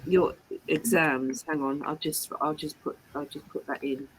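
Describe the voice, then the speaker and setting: A woman's voice: deep voice, steady tone, measured pace, a little hiss or hum, low-quality recording. A woman from England, tutoring a learner one to one and repeating and rephrasing her questions patiently. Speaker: English tutor. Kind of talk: online one-to-one English lesson.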